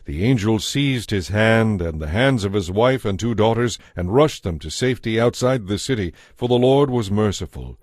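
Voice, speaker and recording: A man's voice: lowish voice, animated, normal speed, few pauses, darkish tone, no room sound, studio quality. A man giving scripted dramatic narration of a Bible story as the narrator.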